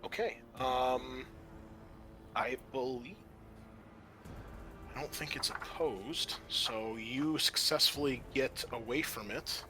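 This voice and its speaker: A man's voice: medium pitch, slow, pauses often. A man acting as game master in a tabletop roleplaying game, explaining rules casually and thinking aloud as he goes. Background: background music.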